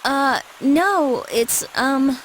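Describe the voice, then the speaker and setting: A woman's voice: sits high, animated, extremely slow, a little hiss or hum, full character voice, poor audio. A woman voicing a perky but hesitant teenage schoolgirl in an English anime game dub. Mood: confused, hesitant.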